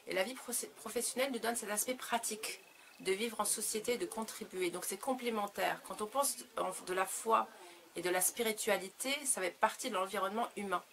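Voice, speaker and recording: A woman speaking her native French as an author in an interview, reflective and halting as she searches for words. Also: medium pitch, fairly bright tone, a little hiss or hum. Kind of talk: reflective interview answers about own work.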